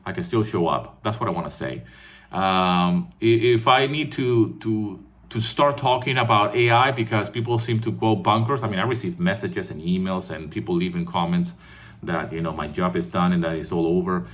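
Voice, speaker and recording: A man in his fifties with a fairly low voice, a composer from Venezuela vlogging to his viewers. He talks earnestly and loosely, repeating words as he restarts and tagging his points with "right?". It sounds like a phone recording. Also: normal speed, a bit bright. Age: 50s. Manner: earnest, repetitive restarts, frequent "right?" tags. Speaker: composer and online music educator. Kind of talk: casual talking-head vlog.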